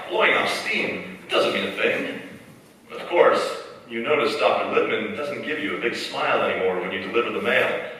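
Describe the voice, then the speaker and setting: Man acting a bright, forceful radio-play narrator. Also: fairly low pitch. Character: probing second-person narrator, an inner conscience. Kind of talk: dramatic 1940s radio narration. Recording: echoey hall, far mic, faint noise.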